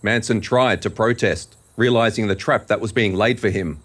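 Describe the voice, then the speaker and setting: A man's voice: fairly low pitch, moderate pace, a bit bright. A man narrating scripted fiction for an audio drama in a dramatic storytelling style.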